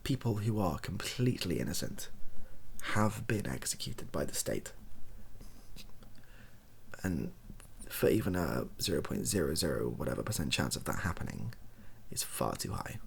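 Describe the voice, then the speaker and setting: A young man speaking softly and breathily in a casual, rambling monologue, hesitant and self-deprecating as he gives his opinions. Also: rumbling low, very animated, normal speed, slight background noise. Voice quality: soft, husky, breathy. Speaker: online video creator giving opinions.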